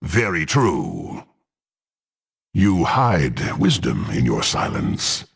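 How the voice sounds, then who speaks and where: A brutal half-orc warrior from a fantasy game, contemptuous and hungry for power. The gravelly voice moves slowly but swings widely, dripping with haughty menace. The phrasing is archaic and formal. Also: male voice, a bass voice, huge pitch swings, heavily acted. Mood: menacing contempt. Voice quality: gruff, gravelly. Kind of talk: dramatic fantasy game voice acting.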